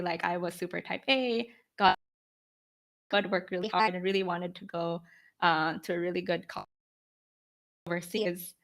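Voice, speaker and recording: A woman's voice: mid-range voice, creaky, a bit bright. A woman of about twenty speaking reflectively and informally in an interview, often dropping in "like" and "you know". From Philippines. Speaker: podcast guest, startup founder.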